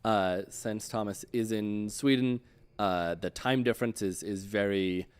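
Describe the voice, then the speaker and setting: A man with a fairly low voice hosts an interview show. He speaks casually and haltingly, feeling his way through introductions and questions. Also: clean tone. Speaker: show host.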